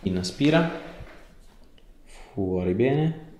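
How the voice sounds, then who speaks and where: A man's voice: lowish voice, animated, very slow, a little mellow. A man, an osteopath giving a patient casual, reassuring short instructions in a small clinic room. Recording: echoey small room, background noise, off-mic.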